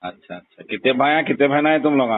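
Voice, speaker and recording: A man's voice: medium pitch, lively intonation, fast talker, no echo. A man with a Hyderabadi accent talking bluntly and insistently in a casual conversation over the telephone. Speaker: phone call recipient. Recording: tinny narrowband phone call recording.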